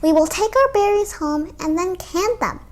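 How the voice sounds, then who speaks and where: A woman voicing a practical storybook mother who gently instructs her small daughter. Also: extremely high pitch, expressive, moderate pace, pitched above the performer's own voice. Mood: gently firm.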